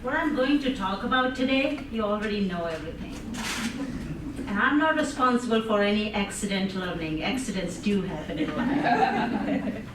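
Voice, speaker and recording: Woman, Indian accent, lively audience speech, echoey room, mic far off, background noise. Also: medium pitch, normal speed. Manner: engaging, asks the audience questions, laughs often. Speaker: public speaker.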